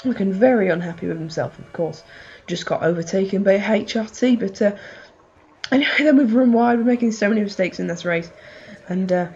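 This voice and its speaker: Hoarse woman commentating a racing game. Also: mid-range voice, brisk, muffled recording. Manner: rambling, excitable, many fillers. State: hoarse, losing voice. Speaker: gaming channel host.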